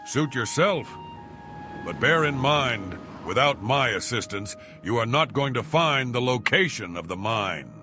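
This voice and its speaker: A man's voice: medium pitch, animated, unhurried, pushed and bright, faint music behind. A man playing a pompous, irritable and shrewd archaeologist in a video game, speaking in a haughty, wordy, formal way. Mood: smug, scheming. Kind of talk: video game character dialogue.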